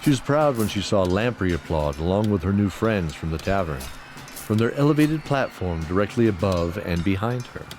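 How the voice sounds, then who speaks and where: A man narrating a scripted fantasy audio drama in a measured, descriptive storytelling manner. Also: deep voice, lively intonation. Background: music.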